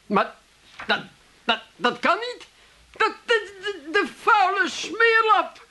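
A man's voice: very high voice, very animated, slow pace, sharp tone, exaggerated voice. An actor playing a theatrical, self-pitying man of the family, plaintive in a comic radio drama. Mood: wailing, indignant.

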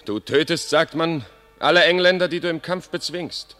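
An actor playing a proud defeated enemy commander in a radio play, declaiming verse with passion. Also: male voice, fairly high pitch, moderate pace, fairly bright tone, faint music behind, close to an everyday voice. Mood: furious. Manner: impassioned, declamatory verse, swinging from defiance to tenderness.

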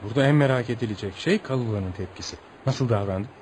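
Man acting a wry, fast-talking inquisitive writer. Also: mid-range voice, a little mellow, lightly acted. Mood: curious, amused. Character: curious, wry male visiting writer interviewing. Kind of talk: Turkish radio theatre acting. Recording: noisy old radio drama recording.

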